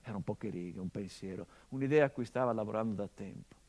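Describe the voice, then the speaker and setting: A male actor delivering a theatrical monologue as a son remembering his father, measured and intimate, swelling into urgency. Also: fairly low pitch, a little mellow.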